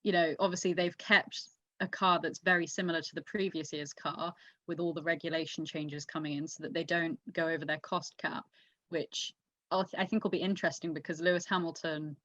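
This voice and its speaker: A woman's voice: sits low, fairly bright tone, no room sound. A woman on a podcast panel discussing sport with opinions, readily correcting and rephrasing points in an argumentative way. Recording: slightly muffled lo-fi, dry.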